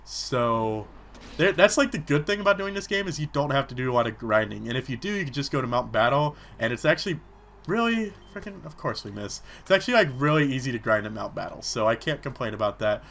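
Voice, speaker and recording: Young man, sore throat, lively pitch, let's-play commentator, rambling and chatty. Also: fast talker, vocal fry, a little hiss or hum. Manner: rambling, chatty, with many fillers. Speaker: gaming commentator.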